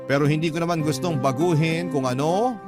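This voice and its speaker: A man with a Filipino accent reads a letter aloud as a radio storyteller. His voice sits a little high, and loud music plays behind the scripted narration. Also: fairly quick. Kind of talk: scripted first-person narration. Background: loud music bed.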